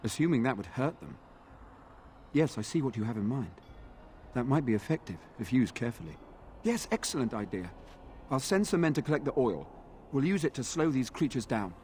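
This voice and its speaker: A man playing an earnest, courteous knight commander in a fantasy video game, speaking in a formal, measured way. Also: medium pitch, big pitch swings, a little mellow, a little hiss or hum, lightly acted. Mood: earnest, approving.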